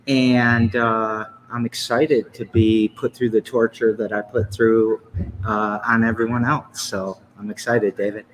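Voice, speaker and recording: Man, fifties, low voice, rambling, self-correcting, casual interview host, faint background hiss. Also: flat delivery, a little slow, low-quality recording. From Lansing, Michigan. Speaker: podcast host.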